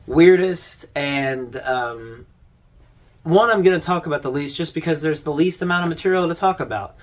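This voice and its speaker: A man hosting a video essay, giving informal, conversational commentary as he explains things. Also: fairly high pitch.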